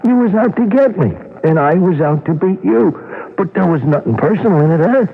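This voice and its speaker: An actor in a radio crime drama playing a pleading, wheedling, slangy safecracker. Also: male voice, sits high, expressive, brisk, creaky, husky, heavily acted. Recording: tinny old radio, faint noise.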